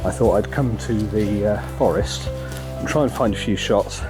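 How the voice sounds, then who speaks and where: A man with a croaky voice from a cold, talking casually and hesitantly while walking in a forest. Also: lowish voice, moderate pace, over music. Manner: relaxed, hesitant, many ums. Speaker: photography vlogger. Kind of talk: casual walk-and-talk narration. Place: outdoors in a forest.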